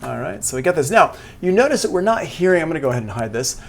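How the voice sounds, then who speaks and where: A man teaching music production in a live software tutorial, talking casually and thinking aloud as he works. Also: a little high, very animated, racing, vocal fry. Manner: casual, informal. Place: indoors, livestream class.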